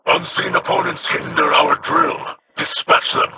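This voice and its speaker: A man's voice: on the high side, flat delivery, measured pace, very noisy, close to an everyday voice. An actor playing a solemn, formal male alien leader who gives grave commands.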